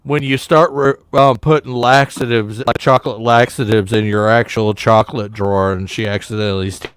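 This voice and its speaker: A man's voice: on the low side, fairly even intonation, normal speed, clear, resonant tone, no room sound. A man joining a casual stream chat, rambling and joking bluntly. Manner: rambling, blunt jokey banter.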